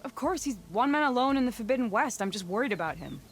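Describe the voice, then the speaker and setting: A woman's voice: fairly high pitch, lively intonation, fast talker, slight background noise, close to an everyday voice. An actress playing a self-assured female warrior and loyal friend in a video game. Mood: resolute, guarded. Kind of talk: video game character acting.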